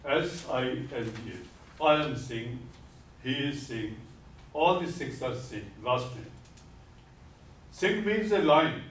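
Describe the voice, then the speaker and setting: Older man lecturing slowly in echoey room. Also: mid-range voice, expressive, slight background noise. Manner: slow, deliberate, explanatory, repeats key terms; gestures while speaking at a podium. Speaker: lecturer on Sikhism. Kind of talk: classroom lecture. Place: classroom with whiteboard. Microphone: far camera. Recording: echoey classroom from far off.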